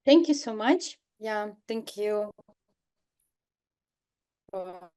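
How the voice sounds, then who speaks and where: A young adult woman from northern Kazakhstan, a native Kazakh speaker, giving a seminar lecture over a video call, halting with many fillers and restarts. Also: medium pitch, lively intonation, dark tone. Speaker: guest lecturer, artist. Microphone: computer mic on video call.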